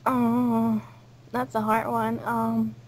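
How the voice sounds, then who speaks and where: A woman's voice: on the high side, level tone, extremely slow, a little hiss or hum, poor audio. A woman hosting a casual cast interview, chatting and reading out questions. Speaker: interview host and cast member.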